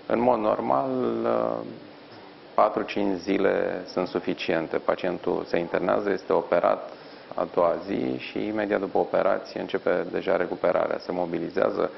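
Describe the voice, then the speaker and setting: A middle-aged man, an orthopedic surgeon, answering questions in a live TV interview from a hospital ward, measured and explanatory with frequent pauses. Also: deep voice, gravelly, dull audio. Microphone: lapel mic.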